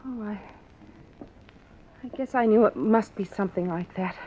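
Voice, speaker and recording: A woman's voice: medium pitch, moderate pace, slight background noise, lightly acted. An actress playing an anxious young American gangster's girlfriend in 1950s radio drama style, earnest, hesitant and pleading. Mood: anxious, confessing. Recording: dull vintage radio recording.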